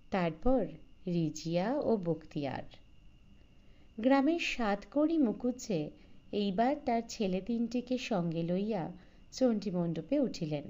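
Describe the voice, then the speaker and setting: A woman narrating a literary short story in a measured, formal storytelling manner. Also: mid-range voice, dark tone, highs cut off. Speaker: audiobook narrator.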